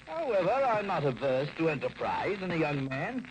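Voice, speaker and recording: An actor playing a genteel gray-haired gentleman crook with fussy, formal manners in a 1940s radio drama. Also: on the high side, big pitch swings, normal speed. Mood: smug, courtly. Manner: formal, fussy, ornate phrasing. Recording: noisy old radio recording.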